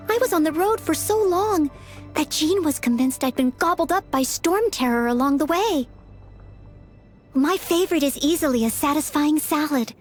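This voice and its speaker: A woman voicing a polite, self-effacing young maid and aspiring knight in a video game, eager to please. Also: high voice. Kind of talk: video game character voice lines.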